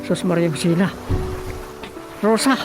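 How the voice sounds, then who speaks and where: A man's voice: high-pitched, a little slow, over music. A man acting a grumbling village man in an Ilocano comedy radio drama, muttering and exclaiming to himself.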